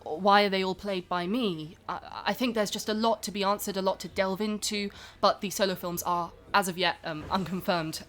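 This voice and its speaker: A woman's voice: medium pitch, steady tone, normal speed, hardly any pauses, lightly acted. A woman playing a pampered, overworked film star being interviewed in a comedy podcast. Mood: polite, promotional. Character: pampered, overworked film star who cracks under press tour. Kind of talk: improvised-sounding comedy podcast interview acting.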